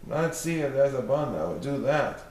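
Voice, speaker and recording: Carefree, unbothered dad for a storybook, read by a man; medium pitch, roomy sound. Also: big pitch swings, fairly quick, darkish tone, lightly acted. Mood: breezy. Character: cheerful, reckless, unflappable storybook father. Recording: roomy, distant mic, faint noise.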